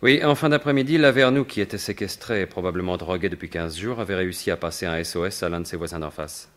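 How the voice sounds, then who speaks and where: A low, confident male voice plays a gentleman thief and sleuth in a vintage-style radio drama. He phrases everything formally and articulately, with wry, probing assurance. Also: fast talker. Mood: probing, grave. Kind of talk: French radio mystery drama acting in 1950s manner.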